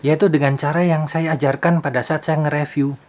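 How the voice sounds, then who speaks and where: A man's voice: on the high side, fairly even intonation, brisk, a little mellow, slight background noise. A man giving a casual tutorial as a video host, explaining things with rhetorical questions and repeated phrases. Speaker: YouTube host, film soundman.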